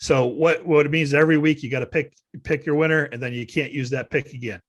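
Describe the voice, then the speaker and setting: A man's voice: on the high side, fairly even intonation, quick pace. A man hosting a casual golf podcast, patiently explaining the rules of a picking game and handing off to his co-host. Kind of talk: casual sports chat.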